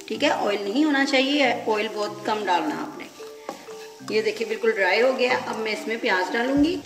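A woman hosting her home cooking channel, explaining each step of a recipe in an instructive way and often checking in with the viewer. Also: a little high. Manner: instructive, often ends with "theek hai". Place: home kitchen. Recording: echoey room with background music.

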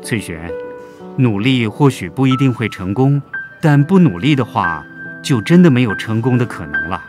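A man acting a kindly, patient schoolteacher with a measured, encouraging manner in a radio drama. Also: mid-range voice, sing-song, lightly acted. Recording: music behind the voice.

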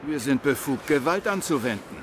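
A man's voice: mid-range voice, animated, moderate pace, very noisy, natural voice. A man playing a wiry, stern male security guard in a radio drama, curt and officious. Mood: stern, threatening. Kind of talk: German radio drama acting.

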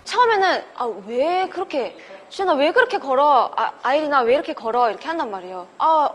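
A young woman telling a story on a variety show, acting out quotes in an animated way. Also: high-pitched, fairly quick, big-room sound.

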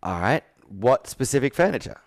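A man's voice: mid-range voice, sing-song, moderate pace, no room sound. A man in his thirties working as a sales coach, asking direct, probing, persuasive questions in a conversational roleplay on a video call. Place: indoor room with whiteboard, on a video call.

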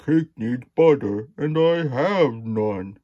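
Man doing a slow, big storybook bear. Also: mid-range voice, lively intonation, dark tone, muffled recording. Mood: glum. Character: big male bear, bookish, plain-spoken storybook neighbour. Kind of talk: children's storybook character voice.

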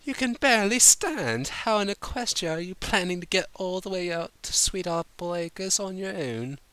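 A man voicing a prim, fastidious female unicorn pony in a cartoon fan story, speaking firmly and properly. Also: sits high, expressive, sharp tone, clean tone, no echo, voice pushed up. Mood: exasperated.

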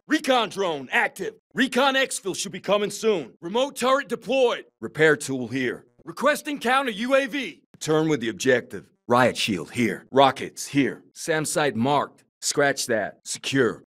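A grim, battle-hardened male survivor in a military shooter game. His voice sits fairly high for a man and swings widely in pitch. He snaps out terse, commanding combat callouts. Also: a bit bright. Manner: terse, commanding, urgent barks. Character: grim, hardened middle-aged male survivor turned soldier. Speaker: video game voice actor.

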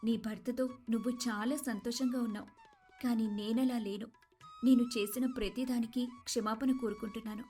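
A woman plays a rich, proud young wife with a very high, dramatic voice. She is sorrowful and repentant, in moral-story style over faint music. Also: steady tone, moderate pace, dark tone, lightly acted. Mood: regretful, apologetic.

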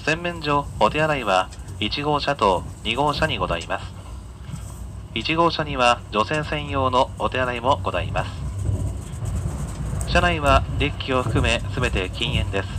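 A man working as a train conductor, giving polite, formal announcements and sightseeing guidance to passengers in a measured way. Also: mid-range voice, lively intonation. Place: inside a moving train, over the announcement system. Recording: on-board PA captured amid train noise. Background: faint train running noise.

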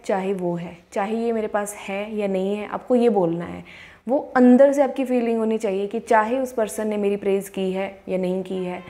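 A woman's voice: mid-range voice, dark tone. Earnest young woman coaching to camera. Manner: earnest, repeats affirmations slowly. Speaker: law of attraction coach. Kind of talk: motivational talk to camera. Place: indoors, in front of a plain wall. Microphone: clip-on lapel mic.

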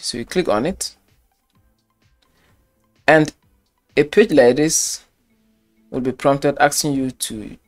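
Man, tutorial narrator, unhurried delivery, faint music underneath, methodical and repetitive. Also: mid-range voice. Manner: slow step-by-step instruction, repeats phrases. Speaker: web design tutor. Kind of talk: screen-recorded software tutorial.

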